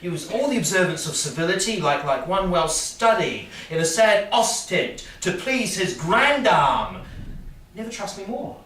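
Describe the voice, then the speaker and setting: A man playing a boisterous, mock-pious young gentleman in a staged Shakespeare reading. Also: high voice, big pitch swings, normal speed. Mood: mock-solemn, teasing. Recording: echoey room from far off, background noise.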